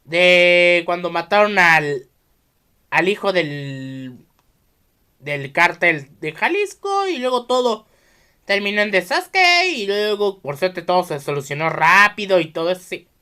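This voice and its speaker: A Mexican man hosts a solo video podcast, talking straight to his viewers. His voice is bright and swings freely up and down. He speaks haltingly, circling back and repeating phrases as he explains. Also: sits high, very animated, smooth. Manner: halting, repetitive, often restarting sentences mid-phrase.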